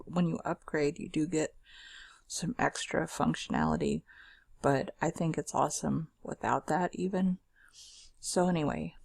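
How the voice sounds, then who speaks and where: A woman talks through a screen demo in a loose, chatty way, with fillers and restarts. Her voice is scratchy and heavily gravelly, with a husky rasp. The sound is close and dry, with no room in it. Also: fairly low pitch, darkish tone, dry recording. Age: adult. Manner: chatty and informal, with fillers and self-corrections. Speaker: screen-share software demonstrator. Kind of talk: casual screen recording walkthrough.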